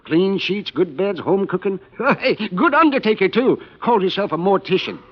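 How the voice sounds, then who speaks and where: A folksy rural American gas station hand in an old-time radio play, chatty and nosy. His voice sits high and bounces around in pitch, through dull vintage sound. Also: lively intonation, normal speed. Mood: folksy, wry. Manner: folksy, clipped, chuckling banter. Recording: muffled old radio recording.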